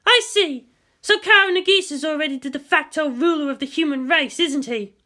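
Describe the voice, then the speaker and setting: A woman's voice: high-pitched, fairly quick, bright, forceful tone, pitched above the performer's own voice. A woman voicing a fierce, defiant female military commander in a dramatic story, intense and emphatic. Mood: defiant, tense.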